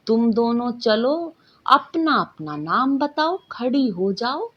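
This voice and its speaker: Woman voicing a stern, husky magic teacher. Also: on the high side, very animated, slow, dull audio, heavily acted. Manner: scolding, authoritative lecturing. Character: stern male magic teacher, strict and commanding.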